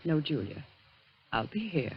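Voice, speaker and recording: An American actress playing a sensible, protective companion in 1940s radio style, soothing and matter-of-fact. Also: female voice, sits low, very animated, unhurried, breathy edge, heavily acted. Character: calm, protective female companion, sensible and reassuring. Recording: muffled, noisy 1940s radio broadcast.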